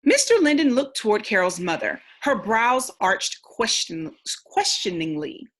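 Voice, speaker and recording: A woman reading a storybook aloud like a teacher, pausing to think aloud and explain. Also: a little high, sing-song, a bit bright.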